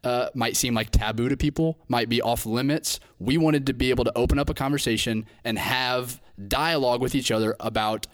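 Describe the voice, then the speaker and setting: A man's voice: medium pitch, brisk, few pauses. A young man hosting a casual podcast, joking around and correcting himself as he introduces the show. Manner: jokey, rambling, self-correcting. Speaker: podcast host.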